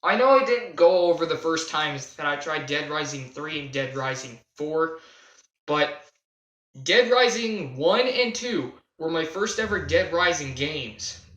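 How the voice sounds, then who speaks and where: A young man telling a casual, unscripted gaming story in a halting, self-deprecating way, stressing key words as he goes. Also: fairly high pitch, fairly bright tone. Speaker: video creator telling a personal gaming story. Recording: roomy, echoey home recording.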